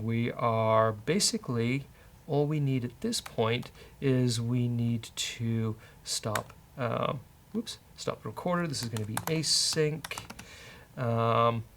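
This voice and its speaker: A man's voice: medium pitch, fairly bright tone, slight background noise. A man hosting a casual programming walkthrough, thinking aloud in a wry, hesitant way. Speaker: host, programming instructor. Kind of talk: casual live-coding walkthrough.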